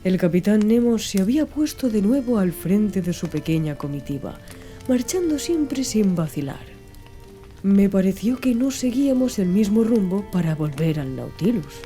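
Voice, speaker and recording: A woman reading a literary audiobook in a measured, clearly phrased storytelling manner. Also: medium pitch, big pitch swings, dark tone. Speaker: audiobook narrator. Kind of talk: scripted literary audiobook narration. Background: background music.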